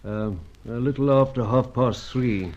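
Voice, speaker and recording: Through dull, lo-fi radio serial sound comes an actor as an older spy chief of medium pitch. He is grave and paternal. His speech is measured and a little hesitant. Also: a little mellow, close to an everyday voice. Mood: grave, resigned. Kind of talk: old-time radio drama acting.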